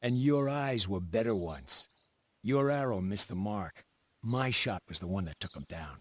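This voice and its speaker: Commanding colonial mercenary leader, lively delivery. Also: male voice, lowish voice, unhurried, no room sound. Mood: dry, confident. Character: bold male colonial mercenary commander, gruff and dutiful. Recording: orchestral music behind the voice.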